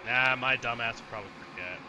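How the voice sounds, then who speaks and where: A man's voice: medium pitch, fast, noisy background. A man streaming a let's play, commenting casually and bluntly and thinking aloud as he goes. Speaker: gaming streamer.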